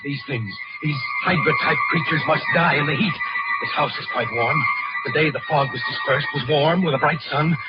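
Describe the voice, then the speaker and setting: A besieged, quick-thinking man played by a male actor, urgent and questioning, in a fairly high voice. It is old-time radio thriller acting, muffled like a vintage broadcast, with loud music behind. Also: close to an everyday voice. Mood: reasoning. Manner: urgent, questioning, thinking aloud, taking charge. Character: urgent, reasoning man besieged by glowing fog creatures.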